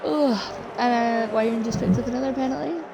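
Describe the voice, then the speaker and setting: A woman's voice: a little high, a little fast, gravelly, a loud crowd, poor audio. A woman leading casual live sim-racing commentary, chatty and giggly with a sleepy, laid-back tone. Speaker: lead commentator. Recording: loud engine noise behind.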